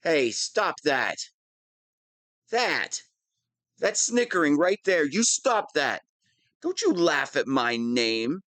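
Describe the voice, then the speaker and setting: Pompous, whiny wannabe supervillain, slow-paced. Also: male voice, fairly high pitch, sing-song, fairly bright tone, dry recording, studio quality, full character voice. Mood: indignant, whiny. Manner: pompous monologuing that slips into whining. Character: whiny, theatrical wannabe supervillain, smug but petulant. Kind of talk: scripted audio roleplay monologue.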